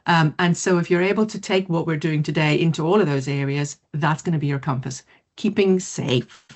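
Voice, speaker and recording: A middle-aged woman from South Africa, whose first language is not English, giving an online mindfulness presentation in a lively, quick way. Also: sits low. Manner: lively, quick, laughs easily. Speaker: mindfulness teacher.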